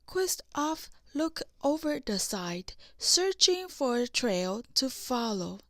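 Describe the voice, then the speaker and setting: A woman narrating a scripted children's storybook in deliberate, separate phrases. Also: high-pitched, very animated, no room sound, studio quality. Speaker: audiobook narrator.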